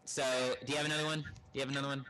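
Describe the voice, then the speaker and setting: A man from Texas co-hosting a podcast, chatting and joking casually in a halting, rambling way. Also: medium pitch, monotone, low-quality recording. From Texas, United States. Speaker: podcast co-host. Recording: loud background noise on live stream.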